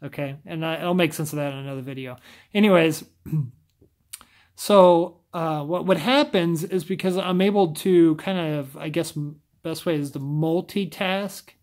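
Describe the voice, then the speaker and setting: A man's voice: a little high, normal speed, a little mellow. A man with a scratchy voice talking casually to camera, often stumbling and restarting his sentences. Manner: stumbles over words, rambling restarts.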